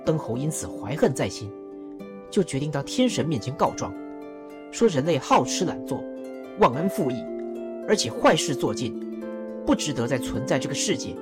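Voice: mid-range voice, big pitch swings, moderate pace, pauses often, music in the background, close to an everyday voice. A performer voicing a learned male court advisor who explains a folk legend in a radio drama. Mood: explaining. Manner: explaining, storytelling.

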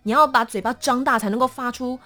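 A woman's voice: fairly high pitch, fast, soft background music. A woman with a Taiwanese accent chatting casually and expressively as an interview guest. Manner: animated, expressive storytelling.

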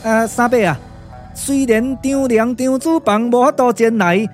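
A man's voice: extremely high pitch, unhurried, faint music behind. A man playing a chatty, deferential underling with a Taiwanese accent, hesitant and wheedling, in a glove-puppet radio drama. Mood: deferential, explaining. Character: deferential, chatty male underling reporting to his master.

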